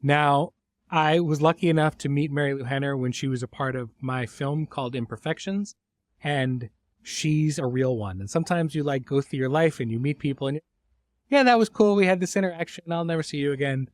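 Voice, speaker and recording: A man's voice: fairly high pitch, brisk, no room sound. A middle-aged man hosting a podcast in an informal, conversational way, effusive with his praise. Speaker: host and series creator.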